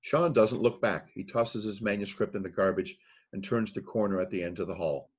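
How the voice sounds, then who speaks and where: A man narrating the stage directions at a screenplay read-through in a plain, steady reading voice. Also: on the low side, a little fast, breathy edge, highs cut off. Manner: plain, steady reading of scene headings and action.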